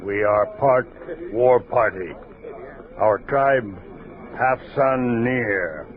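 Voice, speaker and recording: An actor playing a big, proud, guarded war chief in a western radio drama, speaking in terse, halting broken English. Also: male voice, medium pitch, narrow, tinny audio. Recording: noisy, band-limited 1960s radio recording.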